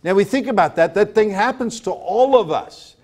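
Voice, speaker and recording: Man, American accent, middle-aged preacher, high voice, emphatic sermon delivery, repeated phrases. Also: expressive, normal speed, a little mellow. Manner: emphatic, repetitive, asking rhetorical questions. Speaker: pastor preaching. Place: church sanctuary, at a pulpit before a congregation.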